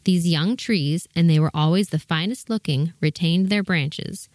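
Low-voiced woman narrating a fairy tale. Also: unhurried, darkish tone, no echo. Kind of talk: scripted storybook narration.

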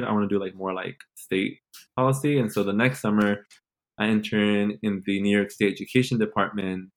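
A man in his twenties from Mexico, Los Angeles and Nashville, talking casually as a podcast guest in an informal, playful, slangy way. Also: lowish voice. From born in Mexico, grew up in Los Angeles and Nashville. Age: 20s. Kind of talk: casual conversational interview.

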